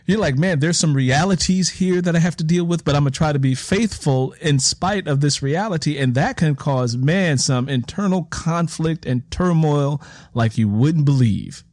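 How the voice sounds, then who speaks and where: A man's voice: a little high, moderate pace, darkish tone, no room sound. Reflective male interview host, dry close sound. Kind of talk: conversational interview.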